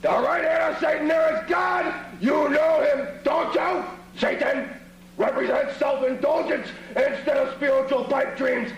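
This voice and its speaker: A woman's voice: high-pitched, steady tone, a little slow, raised voice. A woman playing a defiant, fervent devotee of Satan in theatrical, declaiming film dialogue. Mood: fervent shouting. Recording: echoey, hum and faint noise.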